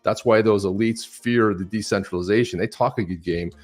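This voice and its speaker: Animated lower-voiced man, casual. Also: a little fast. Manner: animated, swearing, talks to others with "man" and "dude". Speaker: co-host. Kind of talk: casual live stream chat.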